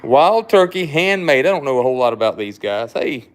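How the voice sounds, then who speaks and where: Rambling Mississippi man with a smooth voice. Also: mid-range voice, very animated, a little fast. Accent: Southern US, Mississippi. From South Mississippi. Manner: rambling, repeats words, reads viewer comments. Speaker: livestream host, knife shop owner. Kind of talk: casual livestream chat.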